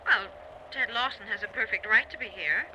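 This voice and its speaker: A woman's voice: mid-range voice, brisk, crowd noise, close to an everyday voice. A woman playing a cool, teasing estranged wife in an old-time radio suspense drama. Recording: muffled, noisy old radio recording.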